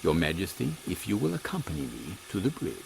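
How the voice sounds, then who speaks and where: A man's voice: low-pitched, wildly swooping pitch, fairly quick, vocal fry, exaggerated voice, low-quality recording. A man playing an earnest, dutiful and easily astonished captain in a steampunk audio comedy, speaking formally. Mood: stern, dutiful. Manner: formal, blustering, exclamatory. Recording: loud airship engine noise behind.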